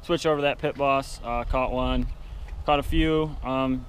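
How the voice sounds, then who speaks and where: A man's voice: medium pitch, fairly even intonation, a little slow. A young man talking casually while fishing outdoors, filmed on an action camera. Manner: laid-back, chatty, swears when cold. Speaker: fishing vlogger. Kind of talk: casual vlog chat. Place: outdoors on a windy dock by a canal. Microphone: action camera, held or set nearby. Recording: wind noise on outdoor camera mic.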